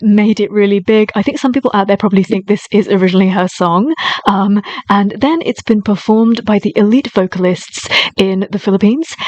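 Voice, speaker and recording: A woman's voice: medium pitch, dry recording. A woman hosting her own reaction channel, talking in a chatty, eager and often apologetic way. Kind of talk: casual reaction commentary.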